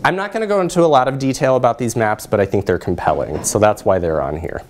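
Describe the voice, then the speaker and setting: A man in his thirties giving a research presentation in a lecture hall, explaining in a measured way. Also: fairly low pitch, lively intonation.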